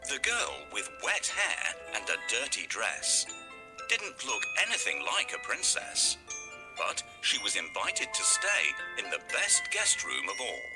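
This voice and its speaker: A man narrating a scripted children's story with deliberate, dramatic phrasing and pauses for emphasis. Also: on the low side, huge pitch swings, music in the background.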